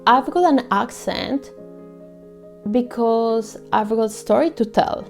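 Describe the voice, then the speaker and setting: Slow, gravelly-voiced Colombian woman. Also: fairly high pitch, soft background music. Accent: Colombian Spanish. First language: Spanish. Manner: earnest and heartfelt, soft and measured. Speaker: vlogger. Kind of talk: personal reflective monologue.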